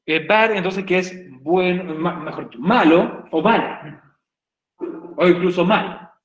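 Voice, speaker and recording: A man's voice: high-pitched, measured pace, reverberant, poor audio. A man from Chile teaching an English class online in Chilean Spanish, chatty and jokey, often checking in with his students. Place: home room on a video call.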